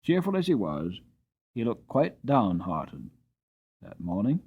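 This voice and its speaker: A man giving an expressive, dramatic reading of a classic storybook as narrator. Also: fairly low pitch, takes it slowly, husky, phone-quality sound. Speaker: audiobook reader.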